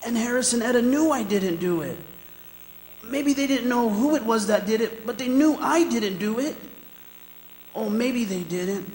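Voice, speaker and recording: Man acting anguished high-voiced condemned prisoner, echoey hall. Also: lively intonation, a little mellow, slight background noise. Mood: brooding, desperate. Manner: anguished, halting, intense. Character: condemned man protesting innocence, frightened, pleading, brooding. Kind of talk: stage radio drama acting, with dramatic monologue. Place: performing arts center stage. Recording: echoey hall, live stage performance, music behind.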